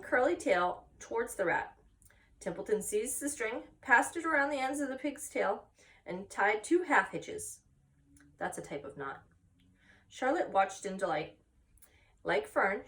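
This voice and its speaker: Expressive woman reading a children's story. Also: mid-range voice, far from the mic. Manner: expressive, pauses to explain. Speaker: story-time reader. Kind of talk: children's storybook read-aloud.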